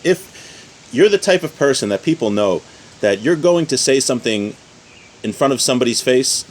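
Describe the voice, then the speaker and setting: A man's voice: mid-range voice, darkish tone. A man giving an informal religious lesson outdoors, explaining conversationally and often rephrasing his points. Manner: conversational, repeats and rephrases, checks in with "right?". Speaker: religious class teacher. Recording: outdoor recording with faint ambient noise.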